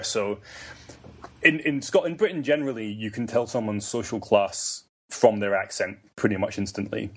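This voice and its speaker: A man with a lowish voice talks through a topic in a relaxed podcast chat. He explains patiently, stopping and restarting his sentences as he goes. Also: husky. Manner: hesitant, explanatory, many restarts. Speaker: guest. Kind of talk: casual podcast conversation.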